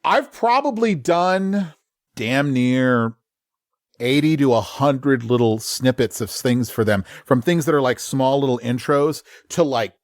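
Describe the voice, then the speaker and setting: A man, a voice actor, chatting casually in an interview and telling stories with lively quick voice changes. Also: medium pitch, no room sound, studio quality. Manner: animated storyteller, slips into quick voices. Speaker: voice actor guest.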